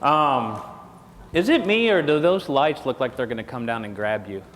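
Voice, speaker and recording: A man's voice: medium pitch, animated, normal speed, fairly bright tone, slight background noise. Folksy Texan man on a hall stage. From Texas. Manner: folksy, jokey, asks the crowd questions. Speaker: conference speaker. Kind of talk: joking seminar talk to an audience. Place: large conference hall stage. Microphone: lapel mic. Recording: echoey hall with long reverb.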